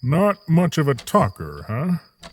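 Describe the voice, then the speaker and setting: A man's voice: fairly high pitch, huge pitch swings, slow pace, a little hiss or hum, exaggerated voice. A man voicing a kind, hospitable, slightly awkward fatherly king in a comic dub, courteous and chatty. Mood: gentle, chatty. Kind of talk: dramatic voice acting for a comic dub.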